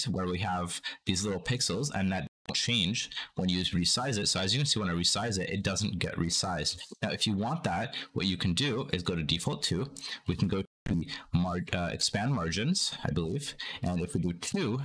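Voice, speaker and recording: A young man with a slightly groggy, under-the-weather voice, explaining software step by step in a casual tutorial style. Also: low voice, lively intonation, normal speed, slightly rough. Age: young adult. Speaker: tutorial host.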